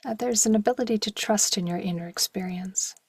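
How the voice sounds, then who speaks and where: Husky, breathy woman guiding meditation. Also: medium pitch, no echo. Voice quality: soft, breathy, at times near a whisper. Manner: slow, gentle, with long pauses and short phrases. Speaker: meditation guide. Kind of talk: guided meditation.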